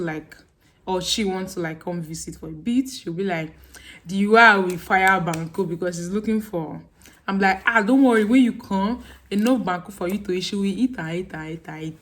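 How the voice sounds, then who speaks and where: A young woman with a Nigerian accent, chatting casually to camera on her vlog. She acts out her friends' questions with laughter. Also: mid-range voice. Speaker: vlogger.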